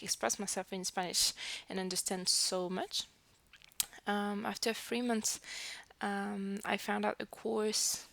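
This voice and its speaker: A young woman from New Caledonia talks to her camera in a casual, rambling way, her colouring her speech. Her voice has a gravelly edge, picked up close on a headset mic in a dry, dead room. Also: mid-range voice, fairly bright tone, dry recording. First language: French. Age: 20s. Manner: chatty, frequent fillers and restarts. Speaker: vlogger. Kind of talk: casual self-introduction to camera.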